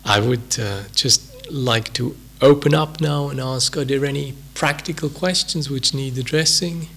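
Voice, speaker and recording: Male meditation teacher, Swiss accent, very gravelly and a bit husky, slow reflective delivery. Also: mid-range voice, fairly bright tone. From Switzerland. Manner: unhurried, reflective, many pauses and fillers. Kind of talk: dharma talk at a retreat.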